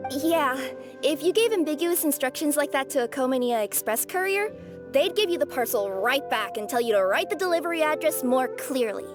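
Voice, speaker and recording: A woman voicing a feisty, blunt but helpful female cat courier in animated game dialogue. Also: high-pitched, brisk, music in the background. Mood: indignant.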